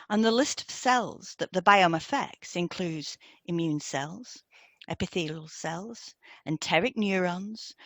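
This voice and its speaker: A woman presents scripted teaching material alongside a co-host. She reads in a deliberate, measured way at an average pitch, and the sound is dry with no room echo.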